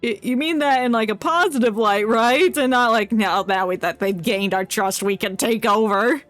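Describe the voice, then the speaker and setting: A woman's voice: on the high side, normal speed, a bit bright, no echo. A woman streamer giving casual, playful live commentary on a video game. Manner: informal, playful reactions. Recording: game music behind.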